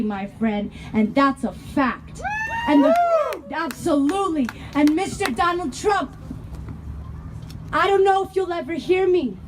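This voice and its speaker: A young woman from Denver performing spoken word poetry, rhythmic and impassioned, building to shouted lines. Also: very high voice, slight background noise, low-quality recording. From Denver, Colorado.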